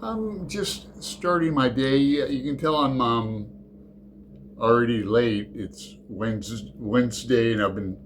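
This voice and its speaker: A man hosting his book channel in a casual, rambling chat, halting and restarting his sentences often. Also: on the low side, a little hiss or hum.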